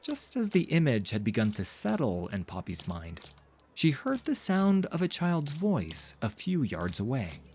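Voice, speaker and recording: A man's voice: medium pitch, huge pitch swings, a little slow, a little mellow, a little hiss or hum. A man narrating a fantasy story in a dramatic, scripted audiobook style.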